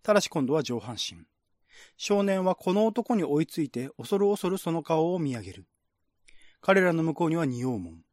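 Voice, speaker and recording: A man's voice: on the high side, sing-song, normal speed, a little mellow, no room sound, studio quality. A man reading scripted narration in a radio-drama style, as the narrator of a screenplay.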